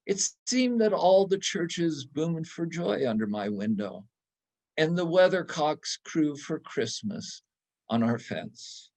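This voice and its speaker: A man reading a scripted literary story aloud in a measured, theatrical way with poetic phrasing. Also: on the high side, sing-song, darkish tone. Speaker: readers theatre reader.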